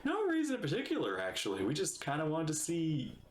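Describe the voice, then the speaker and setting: Excitable man with wildly swinging pitch. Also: brisk, a little hiss or hum. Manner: excitable, playful. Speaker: streamer reacting to a game. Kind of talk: casual gameplay reaction commentary, reading lines aloud. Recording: roomy echo. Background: game music.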